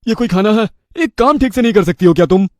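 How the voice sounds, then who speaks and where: A man's voice: sits high, fast, a little mellow, dry recording, lightly acted. A man voicing an irritable, blunt married elder brother who complains in a family story. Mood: angry.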